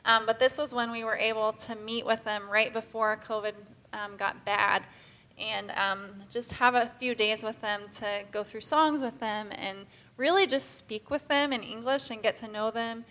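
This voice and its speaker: Missionary woman addressing a congregation, a bit high and very bright, chatty with many fillers. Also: fairly even intonation, normal speed. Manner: casual, filler-heavy, earnest. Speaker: missionary speaker. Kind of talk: church presentation talk.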